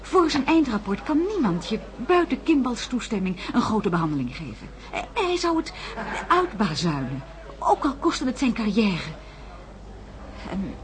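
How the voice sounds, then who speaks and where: An actress playing an earnest, loyal confidante who questions and reassures in a science-fiction radio drama. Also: female voice, mid-range voice. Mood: earnest, reassuring. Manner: reassuring, probing. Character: earnest, loyal female confidante gently questioning a troubled man. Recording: noisy radio recording with background ambience.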